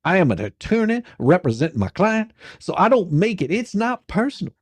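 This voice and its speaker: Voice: medium pitch, big pitch swings, fairly quick, breathy edge, dry recording. Performer acting a pushy, folksy attorney, swooping intonation. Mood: badgering. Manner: badgering, sarcastic, coarse. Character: pushy, folksy, exasperated attorney questioning a witness. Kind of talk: dramatic reading of a deposition transcript.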